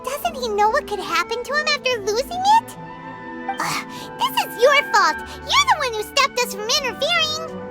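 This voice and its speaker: A woman voicing an excitable tiny fairy companion in a video game, squeaky and childlike, exclaiming and referring to herself in the third person. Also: moderate pace. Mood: indignant. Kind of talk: video game character dialogue. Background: playful background music.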